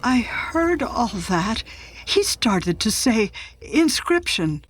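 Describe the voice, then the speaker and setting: A woman's voice: a little high, sing-song, slow, heavy background noise. An actress playing a compassionate, scholarly woman in a cinematic audio drama. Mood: intent, studious. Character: compassionate, scholarly sister, awed and concerned. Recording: music underneath.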